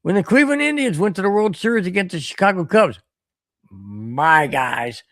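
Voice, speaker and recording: A man hosting a solo podcast stream, ranting animatedly and repeating himself while his voice wears hoarse. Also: sits high, expressive, moderate pace, a bit bright, no echo, studio quality. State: voice getting hoarse. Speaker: podcast host. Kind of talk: solo livestream rant reading chat.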